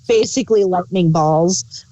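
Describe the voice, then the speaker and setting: A woman's voice: fairly low pitch, a little slow, warm tone, muffled recording. A woman co-hosting a review podcast in a casual, conversational style. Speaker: podcast co-host.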